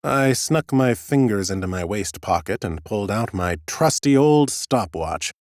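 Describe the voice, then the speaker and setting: A man narrating an audiobook in the first person as an ancient undead scholar, a fussy, smug, whimsical gentleman with a theatrical, drily humorous delivery. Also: fairly low pitch, animated, unhurried, dry recording, studio quality. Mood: self-satisfied.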